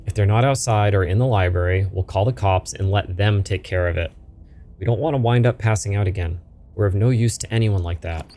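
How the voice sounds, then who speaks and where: A man's voice: lowish voice, brisk, warm tone, slight background noise, lightly acted. Man reading as blunt, skeptical cousin in a game. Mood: dismissive, practical. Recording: music behind the voice.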